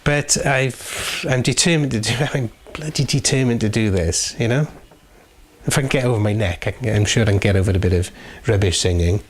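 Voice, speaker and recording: Low-voiced Welsh man with a head cold. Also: brisk. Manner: self-mocking, wry. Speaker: choir member. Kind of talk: casual interview.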